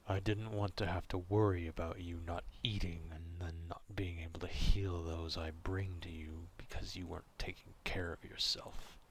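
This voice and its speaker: A man acting out a gruff, proud, distrustful werewolf in an ASMR roleplay, stern and curt but grudgingly caring, in a gravelly half-whisper. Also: low-pitched, little pitch movement, moderate pace, few pauses, a little hiss or hum.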